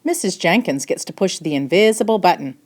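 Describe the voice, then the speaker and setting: A woman voicing an eager young boy, a proud storybook tour guide who announces everything loudly and excitedly. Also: lowish voice, brisk, close to an everyday voice. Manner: loud excited announcing and commanding.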